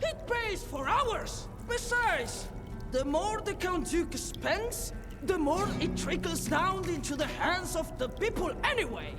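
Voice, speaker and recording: A woman's voice: very high voice, very animated, slow, heavily acted. A woman voicing an eager, naive, earnestly loyal henchwoman in a comic video game, in a reverberant hall. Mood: earnest, defensive. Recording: long hall reverb, music behind.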